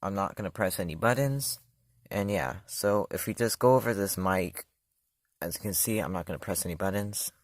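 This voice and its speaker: A man's voice: low voice, normal speed, no room sound, clean studio sound. A man casually demoing a product, talking loosely with many fillers and self-corrections. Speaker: product reviewer. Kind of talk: casual hands-on demo.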